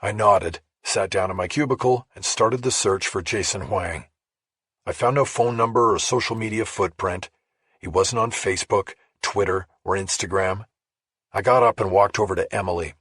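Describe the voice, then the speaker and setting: A man's voice: on the low side, moderate pace, fairly bright tone, slightly rough, no room sound, clean studio sound. A man reading a first-person crime thriller audiobook as scripted narration.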